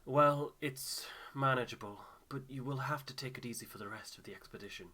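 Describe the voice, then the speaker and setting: A man voicing a careful, concerned ship's doctor in a game, measured and formal. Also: mid-range voice, pushed and bright. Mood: grave, concerned. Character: earnest, discreet male expedition doctor, concerned and careful.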